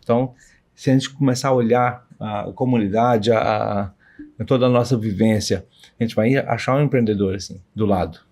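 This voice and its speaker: A man talking casually on a podcast, thinking aloud in halting phrases. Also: lowish voice, darkish tone. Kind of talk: casual conversation.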